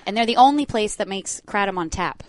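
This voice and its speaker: A woman's voice: medium pitch, animated, a little fast, crowd noise. A woman co-hosting a talk radio show, chatty and laughing often. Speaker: co-host.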